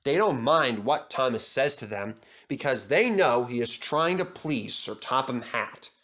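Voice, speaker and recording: A man's voice: mid-range voice, expressive, measured pace, a bit bright. A man reading a scripted children's story as its storyteller.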